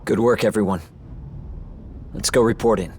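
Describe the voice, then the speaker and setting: An actor playing a composed, analytical young man who talks in a calm, reasoning way in a game cutscene. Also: on the low side, normal speed, a little hiss or hum, natural voice.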